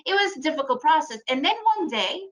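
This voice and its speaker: Bright-voiced chatty woman presenter. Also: high voice, expressive, fast talker. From Ukraine, raised in the United States. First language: Russian. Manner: chatty, storytelling, addresses audience with "right?". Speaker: trading webinar presenter. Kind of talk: casual presentation.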